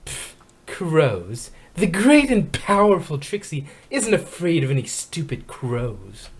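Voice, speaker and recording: A man's voice: fairly high pitch, big pitch swings, slow pace, a little hiss or hum, voice pushed down. A man voicing a haughty, boastful female pony magician from a story, pompous and dismissive. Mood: scornful.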